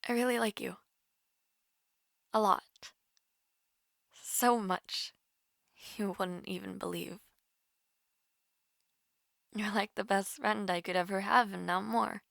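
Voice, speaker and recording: A woman playing a nervous, smitten young woman in an intimate romantic audio roleplay, halting and flustered. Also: mid-range voice, fairly even intonation, fairly bright tone, dry recording, studio quality. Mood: tender, bashful. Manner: halting, flustered, breathy sighs and whispers.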